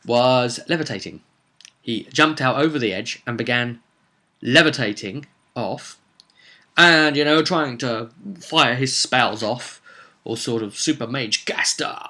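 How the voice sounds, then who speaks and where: Bright-voiced man recounting a game session dramatically. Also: medium pitch. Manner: dramatic, repeats phrases for suspense. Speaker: game master recapping a tabletop roleplay session. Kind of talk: casual animated storytelling recap.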